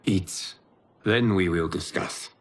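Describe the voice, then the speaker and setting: A calm realm protector. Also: male voice, sits low, slow pace. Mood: grave. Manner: calm, authoritative, earnest. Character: wise male protector of the realm, calm, commanding. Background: music.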